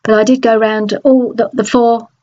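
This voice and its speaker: A woman with a stuffy, nasal voice from a cold, chatting in a relaxed voice-over about a craft project, with many small restarts. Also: fairly high pitch, moderate pace, dull audio. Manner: chatty, hesitant, frequent restarts. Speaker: scrapbooking crafter. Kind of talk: process video voice-over.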